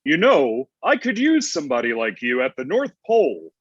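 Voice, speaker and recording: A man playing a laid-back, slangy, gruff Santa Claus in a comedy table read, heard over online call audio. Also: mid-range voice, huge pitch swings, moderate pace, lo-fi sound. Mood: friendly. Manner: casual slangy delivery.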